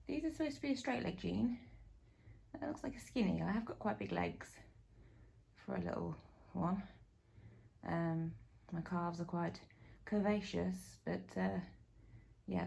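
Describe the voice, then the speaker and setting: Hoarse, gravelly woman in her forties. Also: lowish voice, darkish tone. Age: 40s. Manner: rambling, self-deprecating, many ums and likes. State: hoarse from a cold. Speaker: vlogger. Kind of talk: casual chatty vlog. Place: living room at home. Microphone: phone camera.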